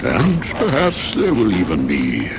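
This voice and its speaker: An actor voicing a huge, lazy, menacing demon beast in a video game, with a languid, condescending drawl. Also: male voice, fairly low pitch, wildly swooping pitch, measured pace, background noise. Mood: bored, dismissive.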